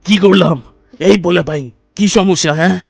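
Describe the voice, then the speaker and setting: A man's voice: high voice, fairly even intonation, moderate pace, gravelly, dry recording, clean studio sound. A man voicing a poor old cartoon villager, a petty thief with rustic, colloquial Bangladeshi Bengali and a plaintive manner. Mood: defensive, indignant. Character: poor old man, shoe thief in pious dress, defensive then pleading. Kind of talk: cartoon voice acting.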